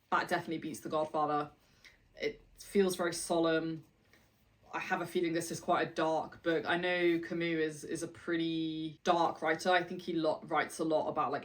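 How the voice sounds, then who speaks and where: Book vlogger, woman, low voice, chatty, reads passages aloud, a little off-mic. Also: a bit bright, distant mic. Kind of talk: casual chat with read-aloud passages.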